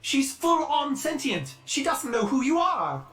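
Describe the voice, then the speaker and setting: A woman's voice: high-pitched, big pitch swings, unhurried, noisy background. A woman playing an urgent comrade in a cinematic video game drama.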